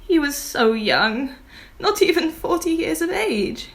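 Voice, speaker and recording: A woman's voice: high voice, big pitch swings, takes it slowly, heavily acted. A woman playing a genteel, arch lady of the manor who is suspicious of her house guest.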